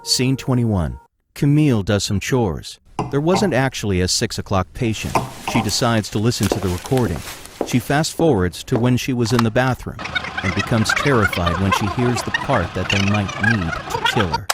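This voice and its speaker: Male narrator, lowish pitch, scripted audio drama narration, heavy noise. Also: lively intonation, moderate pace. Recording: loud background noise, clicks.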